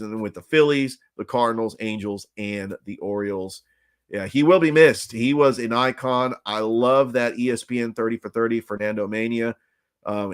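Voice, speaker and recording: A man's voice: medium pitch, a bit bright. A man hosting a solo sports talk podcast, opinionated and ranting, his voice strained and nearly gone.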